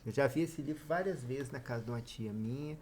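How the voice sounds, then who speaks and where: A man's voice: medium pitch, a little fast, a little mellow, slight background noise. A man, explaining at length and with emphasis in a casual book discussion. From Espírito Santo, Brazil. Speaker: co-reviewer.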